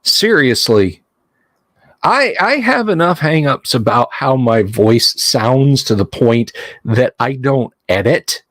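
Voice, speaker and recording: A man's voice: mid-range voice, lively intonation, takes it slowly, fairly bright tone, no echo. A man hosting a casual livestream chat, rambling and self-deprecating, often restarting his sentences. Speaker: livestream host.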